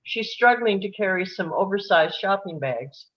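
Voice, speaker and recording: A woman's voice: mid-range voice, expressive, moderate pace, muffled recording. A woman narrator reading scripted stage directions for a screenplay.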